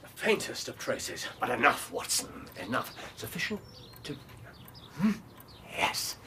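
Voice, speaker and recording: A commanding Victorian detective in a radio drama. His delivery is precise and musing, swooping from murmured hums to brisk orders. A faint noise sits behind the voice. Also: medium pitch, very animated, pushed and bright, exaggerated voice. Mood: musing, intent. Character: keen, commanding male Victorian private detective.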